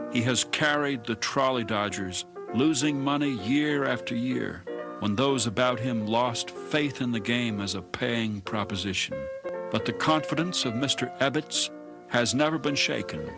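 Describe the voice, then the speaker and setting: A man's voice: mid-range voice, animated, a little slow, vocal fry. Man narrating formally over music. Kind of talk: scripted documentary narration.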